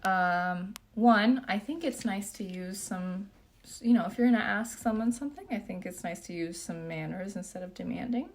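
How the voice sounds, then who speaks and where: A young woman from Japan hosts a relaxed livestream Q&A at home, doing her makeup. Her voice sits at a medium pitch, and she talks in a loose, chatty way, reading viewers' comments aloud and padding her answers with plenty of "um" and "like.". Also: normal speed. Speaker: beauty vlogger.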